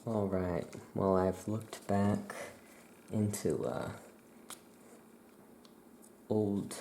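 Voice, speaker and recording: A soft-spoken ASMR host murmuring thoughts aloud in halting short phrases, close to the microphone, while writing. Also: sits low, gravelly, slight background noise. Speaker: ASMR host playing a witch shopkeeper.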